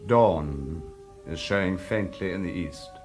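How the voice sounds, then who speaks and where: A man narrating an audio drama in a theatrical, expressive way, telling the story vividly in the present tense. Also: sits low, slow. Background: loud music.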